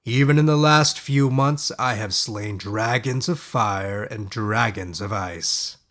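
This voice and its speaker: A man voicing a proud young knight and dragon slayer in a fairy-tale audiobook, speaking formally and with boastful, measured assurance. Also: fairly low pitch, a bit bright.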